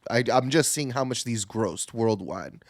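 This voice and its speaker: A man's voice: medium pitch, lively intonation, a little slow, gravelly, no room sound, clean studio sound. A man co-hosting a podcast in a casual group discussion. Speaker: podcast co-host.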